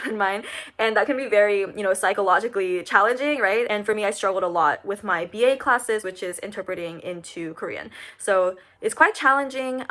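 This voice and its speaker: A woman's voice: mid-range voice, fairly bright tone. A young woman in her twenties, a recent graduate, talking casually to camera with lots of fillers like "um" and "like". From United States. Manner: chatty, many fillers like "um" and "like".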